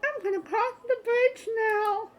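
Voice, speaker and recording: A woman voicing an excitable, bubbly young girl in a visual novel read-aloud, with a squealy, wide-eyed manner. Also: extremely high pitch, measured pace, soft background music, pitched above the performer's own voice, poor audio. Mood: excited.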